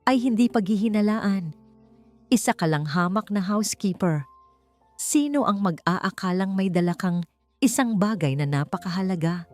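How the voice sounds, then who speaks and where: A woman's voice: mid-range voice, lively intonation, normal speed, a little mellow, dry recording, close to an everyday voice. A woman voicing a menacing, condescending male boss in an audiobook drama, coaxing and taunting, with music behind. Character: menacing, manipulative male hotel boss, sneering and bribing.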